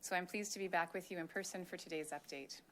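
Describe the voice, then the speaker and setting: Measured woman giving an official briefing. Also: fairly low pitch, a bit bright, slight background noise. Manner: measured, official, reading statements. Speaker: public health official. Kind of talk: formal press briefing.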